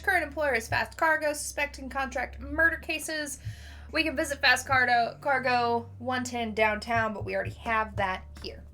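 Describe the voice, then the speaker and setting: A woman's voice: high-pitched, sharp tone. A woman hosting a board game livestream, switching casually between reading game text aloud and chatting.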